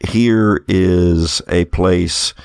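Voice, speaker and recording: Man, low-pitched, unhurried, tabletop game master, horror narration, explanatory and conversational. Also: steady tone, gravelly, a little mellow, clear, resonant tone, no room sound, studio quality.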